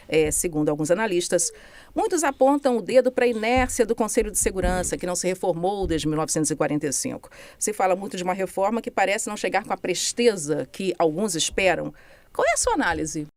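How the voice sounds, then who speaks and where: A woman's voice: mid-range voice, no room sound. A woman with a Brazilian Portuguese accent who interviews a guest in a conversational way, often adding tag questions. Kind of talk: news interview.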